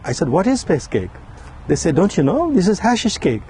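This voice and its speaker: A man's voice: high-pitched, big pitch swings, fairly quick, mellow, slightly rough. A man, a poet presenting his own work live in a dramatic, declamatory way. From Nepal. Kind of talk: live poetry reading with spoken introduction.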